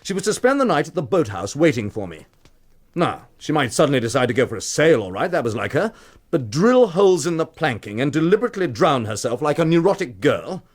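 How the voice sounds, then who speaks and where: Radio drama: cocky drunken cad and blackmailer, taunting, oily familiarity, very lively pitch movement. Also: male voice, fairly quick. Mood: insistent, indignant. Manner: taunting, familiar, theatrically insinuating.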